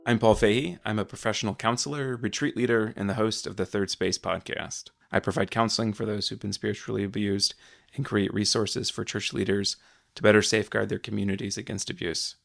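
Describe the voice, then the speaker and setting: Low gravelly man, measured counselor. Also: fairly even intonation. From Michigan. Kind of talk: interview commentary.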